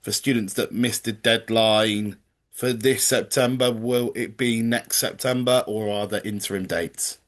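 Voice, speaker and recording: A man hosting an online webinar over a video call, with a somewhat low voice. He talks in an easy, chatty way and reads out questions from viewers for the guests. His pace is ordinary and relaxed. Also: a little slow. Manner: chatty, relays audience questions. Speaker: webinar host. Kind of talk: moderating a webinar Q&A.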